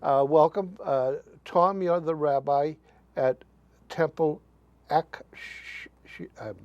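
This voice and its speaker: A man hosting a local interview show, asking questions in a halting way, stumbling over names and using many fillers. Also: on the high side, darkish tone, dry recording, dull audio.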